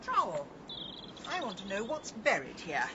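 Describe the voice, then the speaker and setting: An actress playing a bossy, impatient amateur detective in a radio drama, with an English accent and a brisk, sardonic manner. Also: female voice, medium pitch, wildly swooping pitch. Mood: curious. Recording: dull sound, clear background noise.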